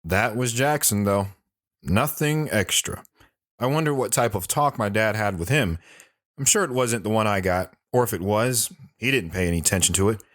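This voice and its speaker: Low-voiced reflective storyteller played by a man. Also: moderate pace, a bit bright, dry recording, studio quality, natural voice. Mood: nostalgic. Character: reflective grown man recounting his boyhood memories. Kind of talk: first-person audio drama storytelling.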